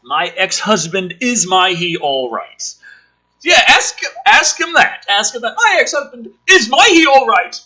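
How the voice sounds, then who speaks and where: A man's voice: high-pitched, sing-song, a little slow, sharp tone. A man reading a script aloud with sarcastic, exasperated, foul-mouthed commentary as the host.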